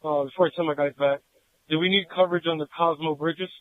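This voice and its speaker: A man's voice: a little high, steady tone, fast talker, no echo. A man speaking as a police team leader over a police radio. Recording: narrow, tinny police radio transmission.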